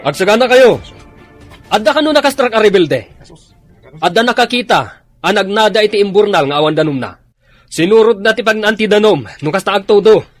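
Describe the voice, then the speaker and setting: An urgent Filipino army commander in a radio drama, barking orders. His raised, high voice leaps around with great force over light music. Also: animated, fairly quick, long pauses, no echo. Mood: urgent commanding. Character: urgent, commanding military officer briefing his troops.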